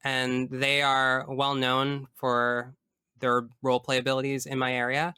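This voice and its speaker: A man speaking as a guest in a casual interview, enthusiastic and emphatic in an expressive way. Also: medium pitch, a little slow, clean tone, no room sound, clean studio sound. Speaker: tabletop game master. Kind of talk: casual interview chat about personal experience.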